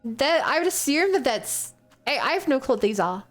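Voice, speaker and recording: A woman's voice: sits high, very animated, normal speed, faint music behind. Chatty New Zealand woman streaming a game. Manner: chatty, thinking aloud and answering chat. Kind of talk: casual gameplay commentary.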